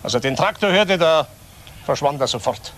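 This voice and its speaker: An actor playing a bitter, defiant middle-aged father in a radio crime drama, speaking in emphatic broken phrases with pauses. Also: on the high side, animated, fairly bright tone, slight background noise. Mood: insistent. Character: bitter, defiant father of grown sons under suspicion.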